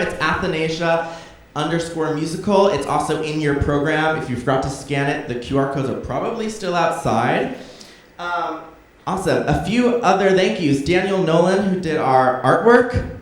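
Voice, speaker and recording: A man's voice: fairly high pitch, animated, moderate pace. A man in his twenties giving an informal, chatty and effusive thank-you speech to an audience from a stage. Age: 20s. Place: theatre stage, in front of an audience. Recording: echoey theatre from far off, audience noise.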